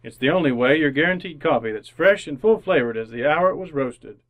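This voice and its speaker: A man's voice: on the high side, expressive, fairly quick, a bit bright. A man announcing in old-time radio style, reading scripted sponsor spots, narration and credits. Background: music.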